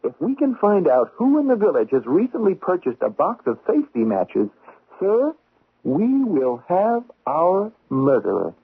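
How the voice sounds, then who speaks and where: An actor playing an eager, pedantic magistrate's secretary who fancies himself a sleuth in a 1970s radio drama. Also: male voice, sits high, wildly swooping pitch, measured pace, full character voice. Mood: brisk, self-assured. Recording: tinny old radio recording.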